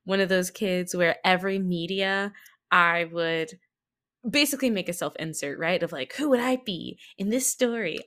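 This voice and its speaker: Playful, expressive woman chatting casually. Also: lowish voice, normal speed. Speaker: podcast guest, tabletop roleplay performer. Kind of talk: casual chat.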